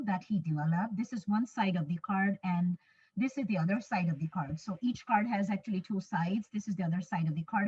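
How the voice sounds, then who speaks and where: A woman from Bangladesh with a Bangladeshi English accent, a native Bangla speaker, giving a measured conference webinar talk as an English teacher and repeating phrases for emphasis. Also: medium pitch, darkish tone, husky. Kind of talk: webinar presentation. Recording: distant, muffled lo-fi mic.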